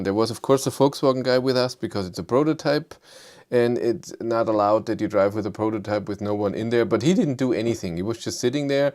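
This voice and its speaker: A man with a thick German accent hosting a casual live chat, low-key and hesitant, with many fillers as he answers viewers. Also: a little mellow. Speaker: livestream host.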